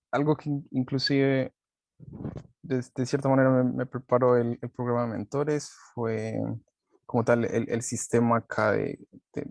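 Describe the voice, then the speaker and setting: A young man from Colombia speaking on a panel in a casual, halting way, full of restarts and repeated words. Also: mid-range voice, darkish tone, no echo. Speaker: panel guest, master's student.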